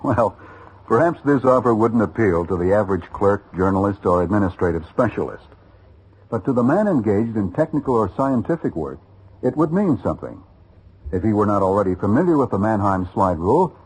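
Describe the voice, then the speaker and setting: An American man with a husky voice delivering a persuasive scripted announcement on vintage radio. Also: on the low side, very animated, fairly quick, a little mellow, rough recording. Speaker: announcer of armed forces education spot. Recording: vintage radio broadcast recording.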